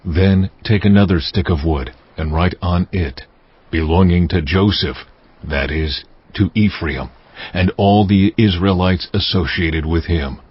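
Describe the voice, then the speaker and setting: An actor playing God in a dramatized scripture reading, speaking solemnly and deliberately, in a commanding, declamatory manner. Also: male voice, sits low, slightly rough, dry recording. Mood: solemn, authoritative. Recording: dull muffled audio with music behind. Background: music.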